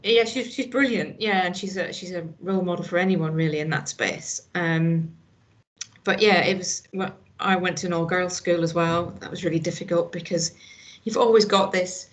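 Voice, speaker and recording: Woman, Northern Irish accent, middle-aged, earnest, casual conversation, low-pitched. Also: moderate pace. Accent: Northern Irish, slight Scottish. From Northern Ireland. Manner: earnest, frequent "you know" and restarts. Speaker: hospital staff guest.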